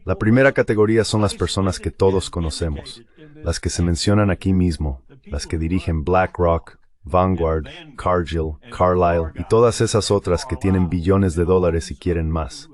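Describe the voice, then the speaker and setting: A man's voice: deep voice, normal speed, a little mellow. A man giving blunt political commentary as an interview guest, leaning on emphatic repetition.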